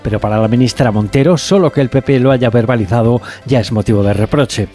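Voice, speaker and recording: A male radio reporter reads a scripted news report. His pitch is average, and a faint music bed runs underneath. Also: quick pace, mellow.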